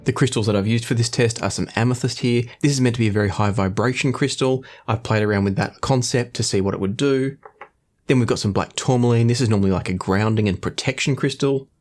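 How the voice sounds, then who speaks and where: A man hosting an audio review, explaining things in a measured, conversational way. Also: medium pitch, a little mellow. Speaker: host of an audio review show. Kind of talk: scripted-sounding product review to camera.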